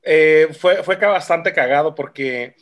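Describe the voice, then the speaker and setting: A man's voice: a little high, unhurried, fairly bright tone. A Mexican man, a film director, chatting casually in an interview, with many fillers and restarts.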